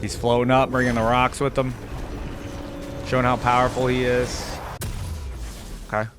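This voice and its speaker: A man's voice: mid-range voice, completely flat delivery, normal speed, background noise. A man giving casual reaction commentary in an informal, slangy gamer way. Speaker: reaction video host.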